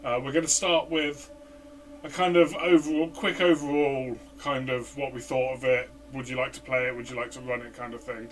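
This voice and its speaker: A man's voice: mid-range voice, flat delivery, moderate pace, distant mic, a little hiss or hum. A man co-hosting a casual game review chat, hesitant and full of fillers and restarts. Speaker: podcast co-host, player.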